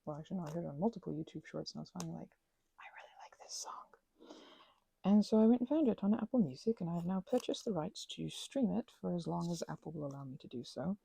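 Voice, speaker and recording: A woman chatting casually as she works on crafts at her table, slipping between soft whispers and louder bursts, teasing herself as she goes. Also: on the low side, expressive, quick pace, creaky, breathy edge, no room sound, rough recording. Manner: chatty, self-deprecating, drifting between whispers and bursts of talk. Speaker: crafting ASMR creator. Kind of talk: rambling craft chat while working.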